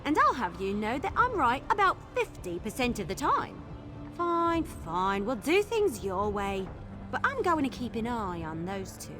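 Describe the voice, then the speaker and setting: An actress playing an eager, overconfident young amateur sleuth in a video game, chatty, conspiratorial and excitable. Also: female voice, fairly high pitch, measured pace, music in the background. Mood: excited, cocky. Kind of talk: video game character acting.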